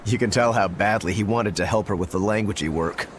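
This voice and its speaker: A man's voice: lowish voice, a little fast, a little hiss or hum, natural voice. An actor playing a slangy, easygoing male rogue in a video game, casual and wry. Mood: teasing, easygoing. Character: laid-back, teasing, slangy male rogue. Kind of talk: English video game voice acting.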